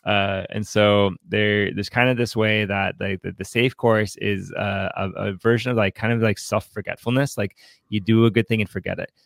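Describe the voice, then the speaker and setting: Man, lower-pitched, dry sound, casual book discussion, rambling, halting delivery, podcast co-host. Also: fairly even intonation, vocal fry, dry recording. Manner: rambling, halting, searching for words; acts out imagined quotes in conversation.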